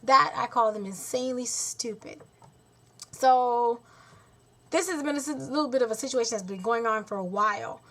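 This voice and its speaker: A woman's voice: on the high side, normal speed, fairly bright tone. A woman in her twenties telling a story to camera in a blunt, exasperated way, acting out what others said to her. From Haitian heritage. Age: 20s. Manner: blunt, exasperated, talks with her hands in quotes. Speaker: vlogger. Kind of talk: casual storytelling vlog.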